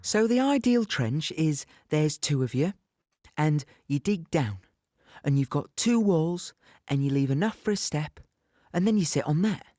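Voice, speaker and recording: An actor playing a plainspoken, weary infantryman in an audio play, reminiscing in a halting, reflective, conversational way. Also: on the high side, animated, mellow, no room sound, studio quality. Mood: matter-of-fact. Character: young British infantryman recounting war, plainspoken, weary, wistful. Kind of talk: audio play monologue, reminiscing in present tense.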